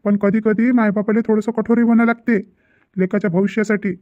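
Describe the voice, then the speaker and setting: A man's voice: sits high, level tone, brisk, no echo, dull audio, close to an everyday voice. A man voicing a stern, exasperated middle-aged father in a cartoon family drama, insistent and repetitive, with a Vidarbha Marathi accent. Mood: firm, explaining.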